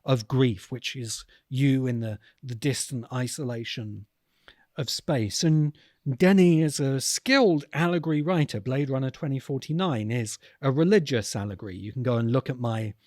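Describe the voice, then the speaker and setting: Male podcast host, medium-pitched, dry recording, earnest and emphatic commentary with deliberate pauses. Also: a little mellow, dry recording. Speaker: podcast host and video essayist. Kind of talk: reflective spoken commentary.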